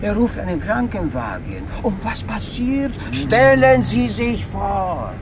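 Fawning, excitable Soviet excuse-maker. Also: male voice, sits high, slow, background noise, exaggerated voice. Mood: pleading, excited. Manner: gushing, theatrical, rambling self-excuses. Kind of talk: radio play acting. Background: vehicle ambience.

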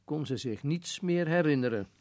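A man's voice: fairly high pitch, expressive, moderate pace, a little mellow, close to an everyday voice. A man playing an irritable, stubborn colonel who runs a prison, in a Dutch radio drama, speaking emphatically and dismissively. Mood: matter-of-fact. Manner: emphatic, dismissive, repeats words insistently. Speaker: actor in a radio play.

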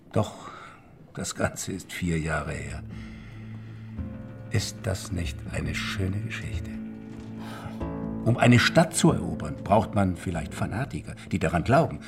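Shrewd, deep-voiced moneyman. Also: exaggerated voice. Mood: knowing. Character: shrewd, worldly banker's man recounting secret dealings. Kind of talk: German radio drama acting. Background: music.

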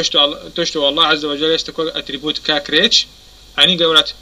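A man teaching a religious lesson. He pauses often, corrects himself, and keeps asking if his listeners understand. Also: fairly high pitch, bright, forceful tone, poor audio. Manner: halting, many fillers and self-corrections. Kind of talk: explanatory lesson.

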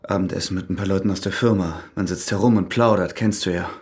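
An actor playing a cool, calculating ex-convict in a radio crime drama, speaking in a controlled, insistent, matter-of-fact way. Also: male voice, deep voice, fairly even intonation, fast talker, very noisy, close to an everyday voice. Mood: casual, probing. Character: cool, calculating ex-convict who has killed.

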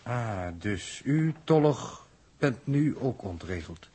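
Very husky, logical space traveller. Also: male voice, mid-range voice, very animated, dark tone, heavily acted. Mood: analytical, curious. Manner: precise, courteous, deliberate. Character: logical, formal, analytical male space traveller from Earth. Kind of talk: 1960s Dutch radio drama acting. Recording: old 1960s radio recording.

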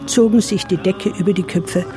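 Woman acting a sober radio drama storyteller, somber and deliberate, music playing underneath. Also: mid-range voice, fairly even intonation, natural voice. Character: somber woman storyteller of hungry, sleepless children. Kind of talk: literary radio drama narration.

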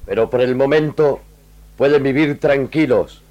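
An actor playing an honorable, humane army lieutenant with earnest, measured, formal phrasing in a radio drama. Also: male voice, medium pitch, a little mellow, dry recording, dull audio, natural voice. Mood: reassuring.

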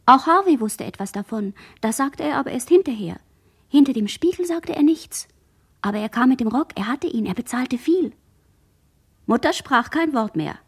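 A dazed young survivor girl in a radio drama, speaking in a high, husky voice. Her thoughts come in halting fragments, inward and haunted, sometimes sinking toward a whisper. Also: expressive, heavily acted. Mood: dazed, remembering. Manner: halting, fragmented, introspective delivery, dropping into whispers. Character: dazed traumatized girl, sole survivor of murders at sea. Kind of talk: literary radio drama monologue.

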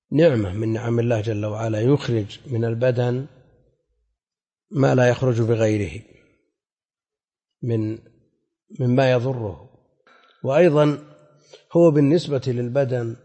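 Husky man lecturing in an echoey hall. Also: medium pitch, mellow. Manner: didactic, conversational, often posing questions. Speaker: Islamic scholar teaching a hadith class. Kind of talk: explanatory religious lecture with questions to students. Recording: echoey hall with long reverb.

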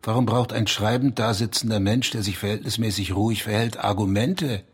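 An actor in a German radio drama playing a brooding, self-destructive writer and gruff lover, with a husky voice. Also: male voice, fairly low pitch, fairly even intonation, a little fast. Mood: agitated, defensive. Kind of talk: intimate German radio drama acting, part poetry.

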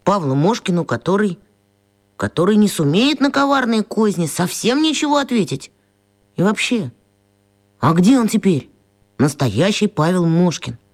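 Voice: mid-range voice, sing-song, normal speed, natural voice. A performer in a radio play voicing a puzzled, mischievous schoolboy who grumbles and thinks aloud to himself expressively. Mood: scheming, pensive. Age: child.

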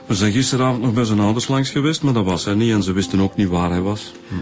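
A man's voice: lowish voice, fairly even intonation, fast, a little mellow, soft background music, close to an everyday voice. A man with a Flemish accent playing a down-to-earth, wry police detective in a radio drama.

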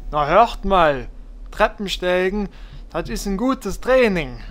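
High, slow adventurer, folksy, whiny, comic fantasy audio drama. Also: male voice, animated, a bit bright, full character voice. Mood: folksy, cheerful. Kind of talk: German parody fantasy audio play acting.

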